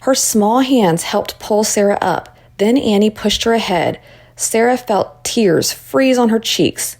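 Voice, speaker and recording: A woman narrating a children's story in an expressive read-aloud style. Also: mid-range voice, slow.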